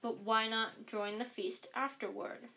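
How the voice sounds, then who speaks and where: A woman's voice: on the high side, steady tone, measured pace, bright, forceful tone, rough recording. A woman playing a cold, sneering teacher.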